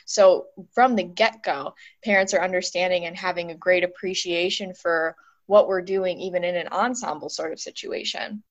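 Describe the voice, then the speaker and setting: A woman's voice: on the low side, steady tone, normal speed, few pauses, highs cut off. A woman music teacher interviewing a colleague, talking in long, encouraging, run-on explanations. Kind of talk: casual conversational interview.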